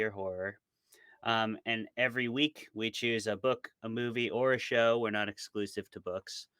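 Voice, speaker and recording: Bright, clear-voiced man chatting casually. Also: mid-range voice, dry recording. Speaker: podcast co-host and author being interviewed. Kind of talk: casual interview chat.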